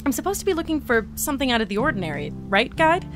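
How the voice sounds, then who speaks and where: A woman acting an eager, naive explorer from an audio drama. The voice is high and swings widely, gushing with excitement while reporting on everything around. Music plays behind it. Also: fast talker. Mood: focused, observing. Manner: excitable, gushing, talking through observations aloud. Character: eager, naive young explorer entity logging a new reality. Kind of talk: audio drama mission log.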